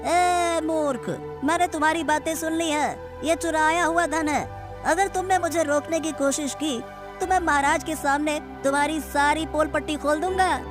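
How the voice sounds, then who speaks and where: A woman's voice: high voice, fairly even intonation, normal speed, over music, lightly acted. A woman voicing a bold, opportunistic young village man in a cartoon story, with a brazen, exclamatory manner. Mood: defiant.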